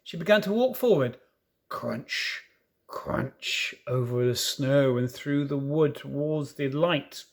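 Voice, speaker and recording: A man reading a children's story aloud in an expressive, dramatic way, with playful asides. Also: medium pitch, slow pace. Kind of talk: animated storybook narration.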